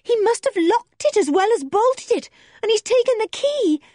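A woman's voice: extremely high pitch, expressive, moderate pace, mellow, no room sound, clean studio sound, pitched above the performer's own voice. A woman voicing a plucky, anxious young girl who speaks urgently, in a storybook reading. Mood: alarmed. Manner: urgent and breathless. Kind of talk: storybook character voice.

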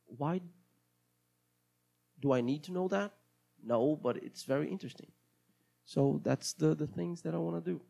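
Gravelly-voiced Brazilian man rambling casually. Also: fairly high pitch, normal speed, long pauses, dark tone. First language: Portuguese. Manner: hesitant, rambling, many restarts. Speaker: podcast host. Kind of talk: casual solo podcast monologue.

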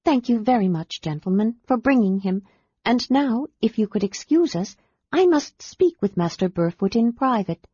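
A woman voicing a gentle, earnest woman librarian who speaks carefully and warmly. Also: fairly high pitch, expressive, normal speed, husky, no echo, highs cut off, voice pushed up. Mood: apologetic.

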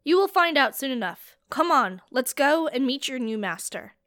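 A woman voicing a gruff, scarred male captor who taunts his prisoner loudly. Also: sits high, lively intonation, normal speed, no echo. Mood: taunting. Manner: loud, taunting.

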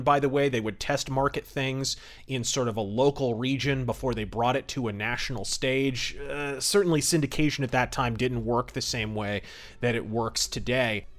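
A man's voice: medium pitch, fairly bright tone. A man hosting a podcast, giving informal history commentary in an articulate, wry and opinionated way. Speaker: podcast host.

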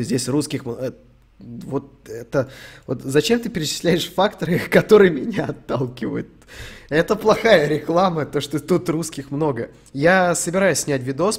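A man's voice: fairly high pitch, slightly rough. A young man from Ukraine streaming, answering chat questions in a rambling, sweary, joking way. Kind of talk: casual stream Q&A.

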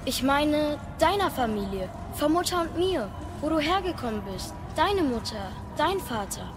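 Casting: eager young warrior's son, high voice, inquisitive and earnest, audio drama over clear background ambience. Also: female voice, big pitch swings, moderate pace, background noise. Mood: curious, earnest. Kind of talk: German audio drama acting.

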